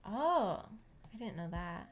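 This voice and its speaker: A woman's voice: mid-range voice, very animated, slow, a little hiss or hum. A woman streamer chatting casually with her viewers, rambling and thinking aloud in a giggly way. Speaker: streamer hosting a visual novel book club. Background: background music.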